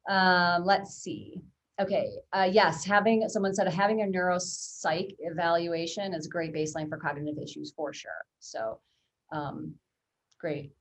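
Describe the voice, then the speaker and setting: A woman's voice: on the low side, steady tone, measured pace. A woman hosting a webinar, reading viewer questions aloud with frequent fillers. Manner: friendly, reads questions with many fillers. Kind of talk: casual webinar Q&A.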